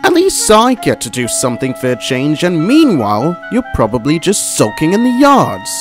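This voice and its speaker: A man voicing a grumpy, stubborn male steam engine, squawking high indignant complaints in an amateur storybook reading. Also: huge pitch swings, normal speed, over music. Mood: grumbling.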